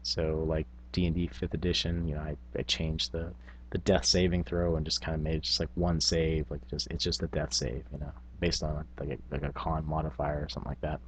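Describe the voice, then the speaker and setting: A man's voice: very deep voice, flat delivery, a little fast, a little hiss or hum. A man hosting a tabletop gaming vlog, talking casually in a rambling, self-effacing, unscripted way. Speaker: tabletop gaming video host.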